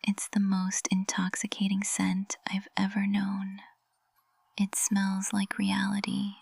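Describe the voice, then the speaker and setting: A woman's voice: mid-range voice, completely flat delivery, vocal fry, darkish tone, breathy edge, no room sound, heavily acted. A woman playing a lovestruck witch professor in an intimate ASMR roleplay, whispering tenderly and breathily, close to the microphone. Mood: soft adoration. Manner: slow, tender, intimate delivery. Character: lovestruck high witch professor, usually cold and composed, tender.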